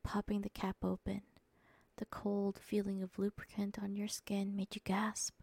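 A woman whispering an erotic story softly and dominantly into a close mic. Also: mid-range voice, slightly rough, no room sound. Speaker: erotic audio narrator.

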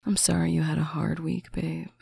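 A speaker in a slow, intimate roleplay, talking softly to a faraway partner on a bedtime call, often dropping into a whisper. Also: medium pitch, fairly even intonation, vocal fry, slightly rough, no echo. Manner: soft, affectionate, often whispered. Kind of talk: intimate roleplay monologue to the listener.

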